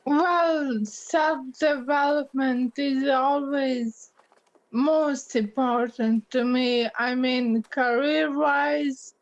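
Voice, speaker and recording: A woman award winner answering interview questions hesitantly, with many pauses and fillers. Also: high-pitched, highs cut off.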